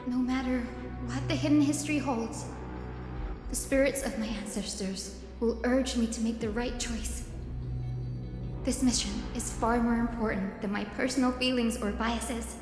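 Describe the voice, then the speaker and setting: An actress voicing an earnest, devout young heroine in a video game, speaking formally and sincerely. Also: female voice, fairly high pitch, fairly even intonation, unhurried, lightly acted. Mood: solemn resolve. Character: earnest, devout young woman hero of an ancient priestly line. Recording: echoey game voice over loud music.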